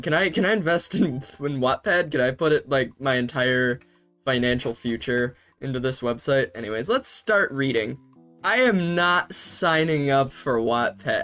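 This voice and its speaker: A man's voice: mid-range voice, a bit bright. A man giving comedic commentary as he reads fanfiction aloud, full of sarcastic, self-interrupting asides and laughs. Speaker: YouTuber reading fanfiction aloud.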